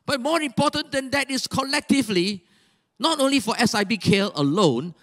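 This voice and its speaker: An older man preaches in Malaysian-accented English, his voice sitting unusually high for a man. He exhorts his congregation, fires off rhetorical questions, and breaks into shouts when he urges them on. It is a live sermon delivered on a church stage into a handheld microphone. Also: lively intonation, brisk. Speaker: pastor. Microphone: handheld mic.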